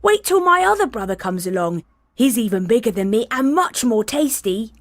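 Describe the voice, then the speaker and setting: A woman voicing the middle billy goat of a storybook, speaking politely and briskly in a raised voice. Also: on the high side, big pitch swings, dry recording.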